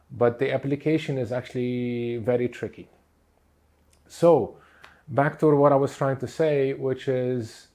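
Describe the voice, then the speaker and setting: A middle-aged man whose first language was Portuguese talks in a reflective, unhurried way, with many pauses and fillers. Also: medium pitch, a little mellow. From Lebanon. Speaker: speaker on inner child work. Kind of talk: reflective teaching talk.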